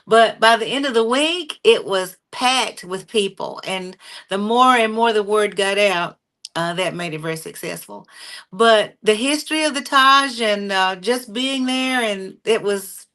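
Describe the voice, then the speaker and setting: A woman with a bright, forceful voice tells her stories at an easy pace with lots of fillers. She sounds middle-aged and speaks like a guest sharing memories in a relaxed chat. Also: mid-range voice, moderate pace. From North Carolina. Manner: animated, warm storytelling with frequent fillers. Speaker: singer and interview guest. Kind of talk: conversational storytelling.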